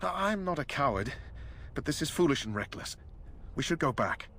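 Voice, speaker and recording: An actor playing a nervous young knight who keeps protesting the danger. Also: male voice, fairly high pitch, big pitch swings, moderate pace, a bit bright, a little hiss or hum. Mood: anxious. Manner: anxious, protesting.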